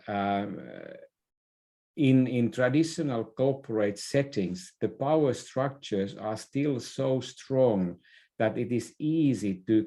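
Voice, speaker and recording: Man, slow delivery, soft-edged mellow voice, middle pitch, thoughtful, conversational explainer. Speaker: podcast guest, business expert. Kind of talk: conversational interview, explaining ideas.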